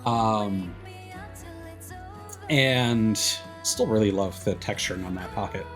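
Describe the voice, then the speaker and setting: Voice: deep voice, slow pace. Rambling game streamer over background music. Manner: rambling, analytical, trailing off mid-thought. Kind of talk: casual livestream commentary while gaming.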